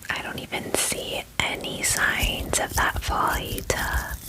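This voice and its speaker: A friendly female dragon acted out by a woman pampers a guest in an ASMR roleplay. She speaks in a soft, breathy whisper, very slowly and gently. Her manner is caring and casually chatty, with small hesitations. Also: close to an everyday voice. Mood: soothing, friendly.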